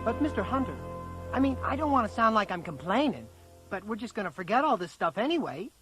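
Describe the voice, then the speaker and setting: An actress playing a skeptical, whiny high school girl complaining in an audio drama. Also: very animated, fast, over loud music, natural voice. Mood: whiny, dismissive.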